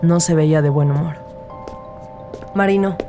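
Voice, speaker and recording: Mellow low-voiced rookie detective. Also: female voice, a little slow, soft background music. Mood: measured, narrating. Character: earnest rookie woman detective narrating her case. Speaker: narrator and lead character. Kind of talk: scripted audio drama narration.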